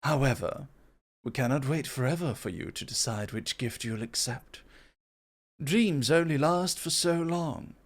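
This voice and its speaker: Man playing a slow, self-assured god. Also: mid-range voice, animated. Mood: patient, grave. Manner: measured, formal, self-assured. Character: proud, composed god of creation and innovation. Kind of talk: ASMR roleplay acting.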